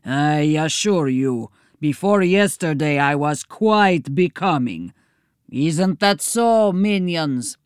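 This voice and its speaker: A woman voicing a pompous, menacing male lord of thieves with a booming Eastern European accent, in a storybook reading. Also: animated, takes it slowly, clean tone, no echo, studio quality. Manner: pompous, theatrical.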